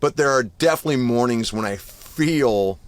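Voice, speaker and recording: A man of about fifty, a guest musician, chatting casually on a podcast and telling animated stories full of swearing. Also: medium pitch, moderate pace. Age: 50s. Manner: profane, animated storytelling.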